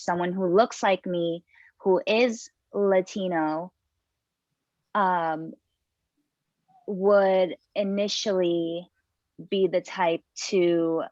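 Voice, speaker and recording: A woman's voice: lowish voice, no room sound, lo-fi sound. A young woman, a TV news producer and reporter, introducing herself on a panel with many fillers and restarts. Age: young adult. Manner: unhurried, with frequent fillers and restarts.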